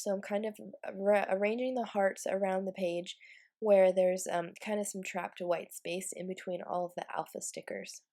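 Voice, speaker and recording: A woman's voice: medium pitch, dark tone. A young woman with a stuffy, congested voice from a cold, talking casually through a Bible journaling craft video. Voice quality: stuffy nasal. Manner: chatty, with fillers and light laughs. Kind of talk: casual craft tutorial voice-over.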